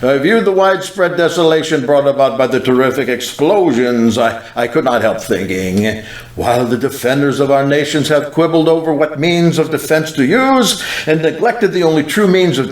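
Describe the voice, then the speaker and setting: A man's voice: fairly high pitch, close to an everyday voice. A man playing a fervent mid-century evangelist recounting an apocalyptic vision in a solemn, dramatic monologue. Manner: solemn, slow, dramatic storytelling. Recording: roomy echoing voice over music. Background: music.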